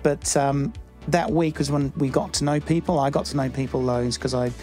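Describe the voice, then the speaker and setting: A middle-aged man from New Zealand with a New Zealand accent, answering interview questions in a halting way with many fillers and restarts. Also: medium pitch, fairly even intonation, a little mellow, soft background music. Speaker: church member.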